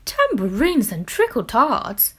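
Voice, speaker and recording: A woman voicing a loving, wistful old grandmother in a children's storybook, gentle, homely and expressive. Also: on the high side, slow, slightly rough, pitched above the performer's own voice, heavily acted. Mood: exclaiming.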